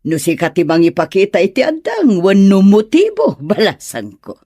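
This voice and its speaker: Woman as older Ilocano mother, ailing, coaxing, matchmaking, lively swooping pitch, dry close sound, radio drama. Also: moderate pace, slightly rough, no room sound. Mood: coaxing, teasing.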